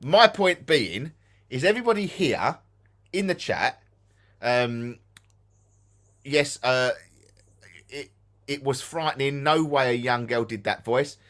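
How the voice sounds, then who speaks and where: A man's voice: medium pitch, animated, slow pace, long pauses, a bit bright. A man with an Essex English accent co-hosting a ghost hunting live stream, chatting casually and repeating himself for emphasis.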